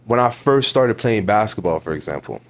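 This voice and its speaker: A young man in his twenties with a Toronto accent talks on a podcast in a somewhat low voice. He sounds emphatic and motivational, leaning on repeated words to drive each point home. He is casual with the host and keeps calling him bro. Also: lively intonation, moderate pace. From Ontario, Canada. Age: 20s. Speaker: podcast guest. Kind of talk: casual interview talk.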